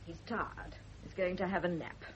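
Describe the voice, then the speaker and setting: An actress playing a bitter, righteous, suspicious nurse, indignant and insinuating, in a radio drama. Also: female voice, lowish voice, normal speed, a little hiss or hum, natural voice. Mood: subdued. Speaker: private nurse. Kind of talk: 1970s radio drama acting. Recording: dull lo-fi old radio recording.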